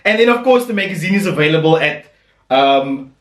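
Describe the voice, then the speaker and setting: A man's voice: on the high side, lively intonation, fairly quick, far from the mic. A man hosting a podcast interview, upbeat and enthusiastic, with many fillers. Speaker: podcast host. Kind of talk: casual interview chat. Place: home room. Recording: echoey room, voice off-mic.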